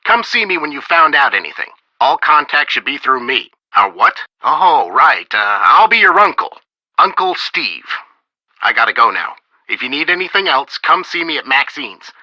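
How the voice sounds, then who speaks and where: A man's voice: mid-range voice, big pitch swings, moderate pace, bright, forceful tone, no room sound, dull audio, heavily acted. A man voicing an edgy, awkward middle-aged police detective in a video game, abrupt and fumbling as he corrects himself. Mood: flustered.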